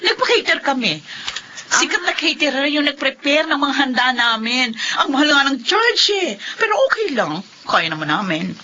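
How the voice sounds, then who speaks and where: A woman's voice: high-pitched, big pitch swings, normal speed, sharp tone, full character voice. An actress with a Filipino accent playing a vain, pompous rich woman who flaunts money in a radio drama. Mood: condescending. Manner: pompous, showy. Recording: dull, slightly noisy drama audio.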